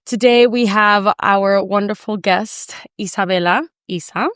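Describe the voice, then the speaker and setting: Chatty podcast host lady, smooth clean voice, dry mic. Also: medium pitch, a little slow, a bit bright, no room sound. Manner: chatty, friendly, fillers and restarts. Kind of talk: casual conversational interview.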